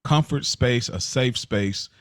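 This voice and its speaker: Animated emphatic male podcast co-host. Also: medium pitch, slow pace, no echo, studio quality. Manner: animated, emphatic, talks in bursts. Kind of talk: casual podcast chat.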